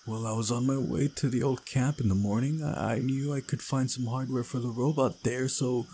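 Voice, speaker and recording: A man voicing a confused, groggy young man from a visual novel, speaking in a halting, bewildered way. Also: mid-range voice, fairly even intonation, warm tone, slight background noise, pitched above the performer's own voice, lightly acted.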